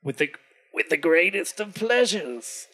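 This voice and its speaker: A man voicing a smooth, quietly menacing devilish gentleman stranger. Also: sits high, lively intonation, a little slow, heavily acted. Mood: sly. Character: suave sinister devilish male stranger, gentlemanly.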